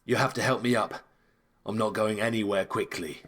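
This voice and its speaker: A man's voice: fairly low pitch, steady tone, normal speed, fairly bright tone, breathy edge. A man as a stern battle-worn agent. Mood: pained, urgent. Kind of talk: audio drama acting.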